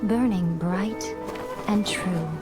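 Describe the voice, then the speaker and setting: A woman playing a solemn storytelling narrator in a video game cutscene, delivering the script deliberately, phrase by phrase. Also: mid-range voice, over loud music.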